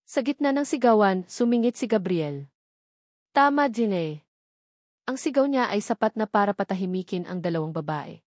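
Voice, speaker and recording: A woman's voice: mid-range voice, animated, fairly quick, a little mellow, no room sound. Woman narrating a dramatic story, dry close sound.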